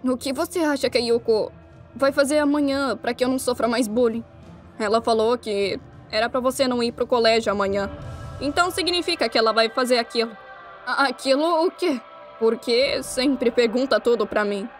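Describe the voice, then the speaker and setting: A fairly high-voiced Brazilian schoolgirl possessed by a devil as a woman acts her, in an anime-style dub. Her delivery is blunt and questioning, with music behind it. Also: lightly acted. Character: troubled schoolgirl and cold devil sharing her body. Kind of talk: anime-style fan dub of a motion manga.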